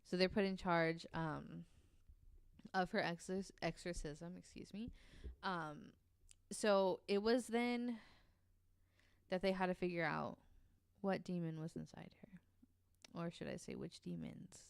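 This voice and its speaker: A woman's voice: lowish voice, a little slow, creaky, long pauses, clean tone, no echo. A woman co-hosting a podcast, telling a story casually with many ums and the odd swear word.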